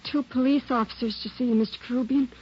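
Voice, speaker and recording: An actress playing a formal, polite office receptionist in a 1940s radio drama. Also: female voice, sits high, fairly even intonation, fast, lightly acted. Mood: polite, efficient. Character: polite female receptionist at a talent agency. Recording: muffled 1940s radio recording, faint noise.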